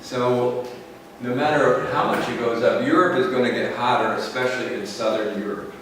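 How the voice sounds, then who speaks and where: An American man giving a slow, explanatory lecture to a school class, often checking in with "okay?". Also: mid-range voice, steady tone, a bit bright, a little hiss or hum. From United States. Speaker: English teacher. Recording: echoey classroom from far off.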